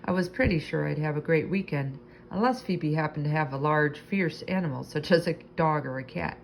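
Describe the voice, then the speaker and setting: A woman narrates a children's storybook aloud. Her voice sits a little low and has noticeable fry, and she reads expressively, drawing out key words. There is faint noise in the recording. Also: a little fast, rough recording. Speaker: storybook reader.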